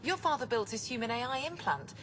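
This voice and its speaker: Guilt-ridden woman scientist, anguished, lively pitch swings. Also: fast talker, a little hiss or hum. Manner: tormented, stammering under strain. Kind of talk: video game dialogue.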